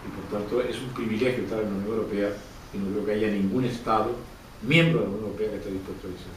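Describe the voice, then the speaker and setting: A man's voice: on the low side, fairly even intonation, quick pace. A man answering questions at a book presentation, emphatic and repeating key phrases. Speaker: author and professor speaking at a book presentation. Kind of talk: public discussion, answering audience questions. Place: event room, distant mic. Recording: roomy, distant mic, faint noise.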